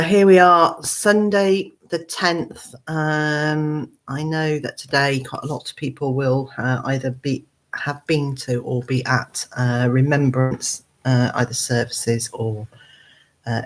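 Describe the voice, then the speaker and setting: A woman with a hoarse, croaky voice, hosting a casual live chat from her computer at home, rambling with many ums and uhs. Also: very deep voice. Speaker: gardening channel host.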